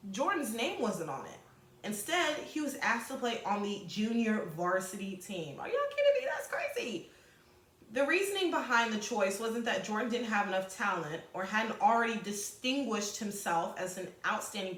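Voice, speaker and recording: Higher-voiced woman teacher, echoey room. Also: fairly bright tone. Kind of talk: read-aloud lesson with informal asides.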